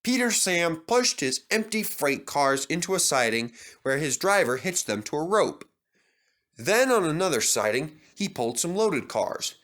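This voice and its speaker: A man reading a children's storybook aloud as narrator. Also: a little high. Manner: expressive, measured.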